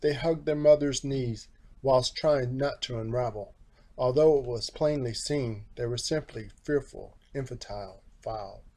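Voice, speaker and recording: Mellow man reading a children's storybook. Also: medium pitch, unhurried. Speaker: author narrating own storybook.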